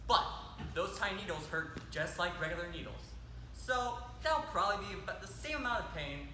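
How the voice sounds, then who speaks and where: An excitable squad leader, acted by a man rambles theatrically through a stage comedy. The voice is high and very animated. It rings around a noisy hall. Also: fairly quick. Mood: rambling, morbid. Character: excitable young squad leader giving a morbid, rambling pep talk. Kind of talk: amateur stage comedy acting.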